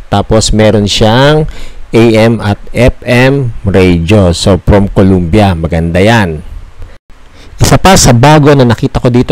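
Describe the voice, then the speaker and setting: A Filipino man narrating a casual shop tour as a vlogger, talking conversationally and pitching items like a salesman. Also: darkish tone, dry recording.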